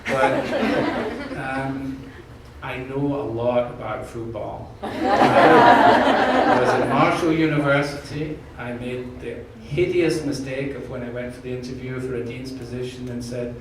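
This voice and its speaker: A man with a slight Scottish accent talks earnestly, with jokes, to a room of staff. He is picked up from far away in a very echoey space. A steady background hiss sits under his voice. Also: mid-range voice, slow, over a noisy crowd. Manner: earnest, jokey, repeats words when restarting. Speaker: university president candidate. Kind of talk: answering questions at an open forum. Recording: echoey room, distant mic, background noise.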